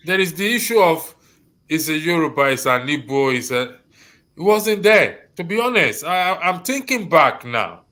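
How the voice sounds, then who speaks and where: A man from Lagos with a Nigerian accent hosts a panel discussion in a halting manner, repeating phrases for emphasis. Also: on the high side, bright, forceful tone. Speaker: host of a diaspora discussion show.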